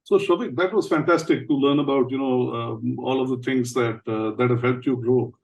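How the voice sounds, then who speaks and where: A man's voice: mid-range voice, fairly even intonation, moderate pace, gravelly, a little mellow, distant mic. A man hosting a business podcast, asking loose, meandering questions in a conversational way. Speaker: podcast host. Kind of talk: interview questions, casual conversation.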